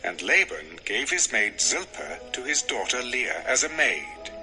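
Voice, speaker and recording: A man's voice: mid-range voice, sing-song, a little slow, music in the background, low-quality recording. A man narrating scripture from a script in a dramatic storytelling style.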